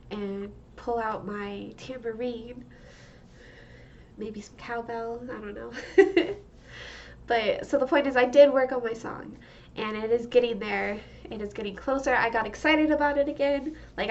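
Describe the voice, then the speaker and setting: A young woman with a blocked-up, congested nose, talking loosely through a monthly check-in vlog. She rambles with plenty of fillers and breaks into laughs. Her slightly high voice sits back from the mic in a muffled home recording with faint room noise. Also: recorded from a distance. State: stuffed up, congested. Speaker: vlogger.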